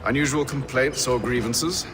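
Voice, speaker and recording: An actor playing a composed, polite gentleman investigator in a video game, speaking in a formal, measured way. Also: medium pitch, close to an everyday voice. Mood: polite, probing. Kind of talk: video game cutscene acting. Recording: game music behind dialogue.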